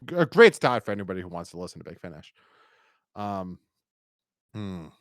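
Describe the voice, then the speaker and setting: A man's voice: low-pitched, very animated, fairly quick, no room sound, studio quality. A man co-hosting a podcast, chatting casually and enthusiastically through a review in a rambling way. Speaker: podcast co-host.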